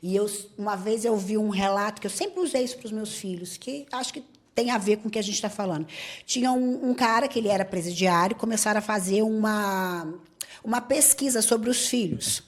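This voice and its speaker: A woman's voice: medium pitch, normal speed. A woman hosting a podcast interview who asks personal questions and answers with anecdotes of her own. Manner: warmly engaged, tells anecdotes. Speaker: podcast host. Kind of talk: interview conversation.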